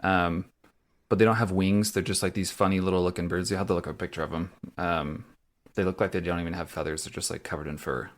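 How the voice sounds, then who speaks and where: Young guy with a really low voice, rambly podcast chat, lots of ums. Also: fairly even intonation, fast. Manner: chatty, lots of fillers and laughs. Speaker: podcast co-host. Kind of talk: casual chat.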